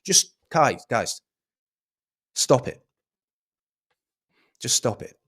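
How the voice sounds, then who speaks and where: A man's voice: medium pitch, very animated, drawn-out words, fairly bright tone, slightly rough. A man giving a scornful, swearing rant of political commentary.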